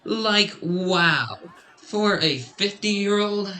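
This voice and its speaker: A man's voice: sits high, a faint crowd, full character voice. A man playing a flirtatious, easily flustered Christmas elf in a radio drama, with theatrical, exaggerated delivery. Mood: flirtatious, smarmy.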